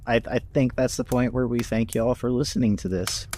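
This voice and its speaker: A man's voice: medium pitch, steady tone, brisk, mellow, a little hiss or hum. A man who voice-acts for a podcast, chatting casually in an earnest, appreciative manner. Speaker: podcast voice actor. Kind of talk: casual chat.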